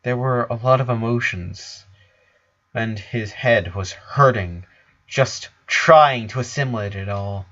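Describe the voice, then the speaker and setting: A man's voice: medium pitch, slow pace, a bit bright. Man narrating a story, slightly dull recording. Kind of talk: dramatic fan fiction narration.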